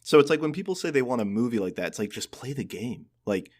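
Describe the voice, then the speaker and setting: A man's voice: mid-range voice, animated, fast talker, a little mellow. A man co-hosting a podcast, chatting informally and giving his opinions on games. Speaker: podcast co-host. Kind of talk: casual podcast chat about games.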